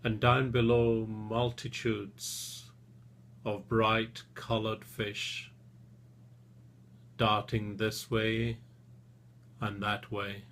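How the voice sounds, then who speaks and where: Guy doing guided relaxation, really slow and gentle, pretty even tone, lots of pauses. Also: lowish voice. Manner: soothing, gentle, with long pauses between short phrases. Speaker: therapist leading a relaxation session.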